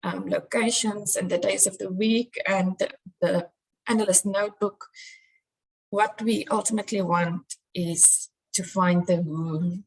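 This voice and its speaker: A woman's voice: mid-range voice, steady tone, unhurried, husky, low-quality recording. A middle-aged woman, giving an earnest conference talk on crime analysis, with frequent ums. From South Africa.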